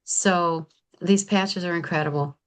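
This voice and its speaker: A woman's voice: fairly low pitch, a little slow, low-quality recording. A woman in her seventies sharing an enthusiastic, chatty testimonial on a video call, often restarting her sentences. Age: 70s.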